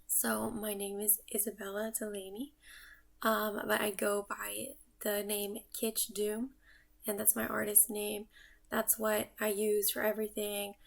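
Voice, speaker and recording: A woman's voice: medium pitch, vocal fry. A young woman from the United States, an artist introducing herself in a casual vlog, talking in a reflective, thinking-aloud way. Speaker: artist and vlogger. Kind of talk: casual self-introduction to camera.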